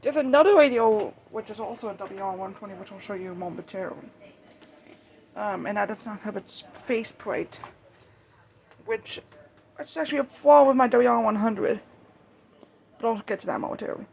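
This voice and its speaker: Hobbyist doing a casual show and tell, kinda high creaky voice, rambles and stumbles, some noise on the home recording. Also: expressive, darkish tone. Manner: rambling, halting, self-correcting. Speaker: hobbyist video creator. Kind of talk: casual unscripted show-and-tell of weather radios. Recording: noisy amateur home recording.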